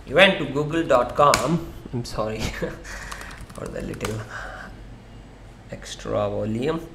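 A man giving a loose, conversational screen-share tutorial on SEO software, with frequent fillers and restarts. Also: medium pitch, lively intonation, slow pace, gravelly, noisy background.

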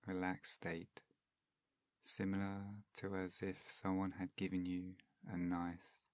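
A man with a deep voice leads a guided meditation very slowly, leaving long pauses between phrases. His delivery is soft-spoken and extremely even, almost flat in pitch. The sound is close and dry, with a soothing, unhurried manner. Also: no echo.

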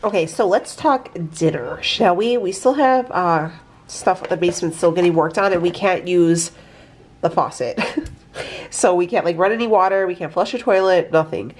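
A woman's voice: on the low side, normal speed, a little hiss or hum. Nasal, chatty young woman. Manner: chatty, rambling, upbeat. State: stuffy from allergies. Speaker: vlogger. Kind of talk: casual food diary chat. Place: home kitchen.

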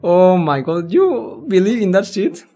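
Lively let's-player with music behind. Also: medium pitch, a little slow. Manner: giggly, interjects own reactions. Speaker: let's-play host. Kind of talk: reading game text aloud with casual commentary. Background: game music with plucked guitar.

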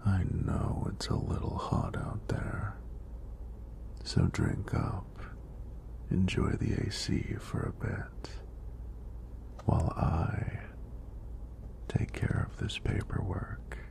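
A man playing a friendly, teasing EMT in a soft-spoken ASMR roleplay, with a deep, breathy, gravelly voice and an unhurried, reassuring manner. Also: heavily acted. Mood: calm, attentive. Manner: unhurried, reassuring, lightly playful. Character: friendly, teasing young male EMT doing a screening.

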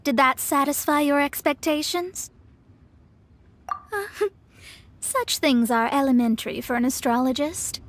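A woman's voice: sits high, a little slow, pauses often, a little hiss or hum. A young woman playing a proud, self-important astrologer in a video game, speaking in a formal, theatrical, haughty manner. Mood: smug, assured. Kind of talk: English game dub acting.

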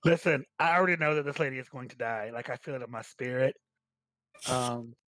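A man's voice: medium pitch, steady tone, fairly quick, a bit bright, dry recording, poor audio. A man chatting casually with fellow readers over an online voice call during a staged reading. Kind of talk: casual chat.

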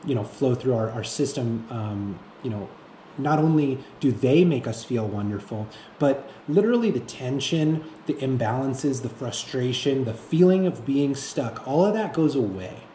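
A man in his thirties speaking off the cuff to camera as a fitness vlogger, haltingly, often repeating himself and searching for words. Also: mid-range voice, expressive, warm tone. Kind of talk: reflective unscripted monologue to camera. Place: indoor room with bare walls. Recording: echoey room with faint background noise.

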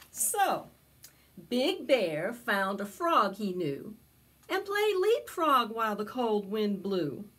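An older woman hosting preschool storytime, reading a picture book aloud with expressive, drawn-out phrasing. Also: on the high side, slightly rough. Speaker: library preschool storytime host. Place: small indoor space with decorated backdrop.

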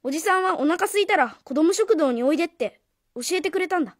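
A woman's voice: extremely high pitch, lively intonation, fast talker, no echo, clean studio sound. A woman playing a guarded, defiant boy in his early teens in a radio drama, speaking plainly and boyishly. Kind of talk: Japanese radio drama acting.

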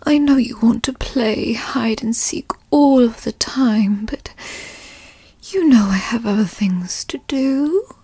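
A woman voicing a doting, possessive yandere girlfriend in a breathy whispered ASMR roleplay, coaxing and coyly controlling with formal wording. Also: a little high, slow, warm tone, no echo, heavily acted. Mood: doting, soothing. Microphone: close microphone, dry sound.

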